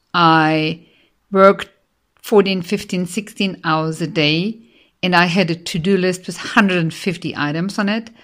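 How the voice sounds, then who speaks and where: A woman with an Austrian German accent speaks with earnest enthusiasm, praising her coach. Her voice sits a little low, and she pauses now and then on small fillers. She sounds like a counselor recommending someone she trusts. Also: fairly bright tone. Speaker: life coach and counselor giving a testimonial. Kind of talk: enthusiastic client testimonial.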